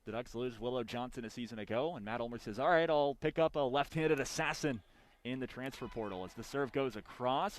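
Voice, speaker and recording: A young man doing live radio play-by-play of volleyball, with punchy, clipped calls that swell on big plays. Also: medium pitch. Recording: background noise of a sports arena. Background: arena ambience.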